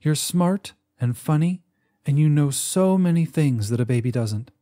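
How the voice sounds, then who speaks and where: A man's voice: fairly high pitch, lively intonation, measured pace, warm tone, pitched above the performer's own voice. A man voicing the worried, tender mother of a sick boy in an audiobook story. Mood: reassuring.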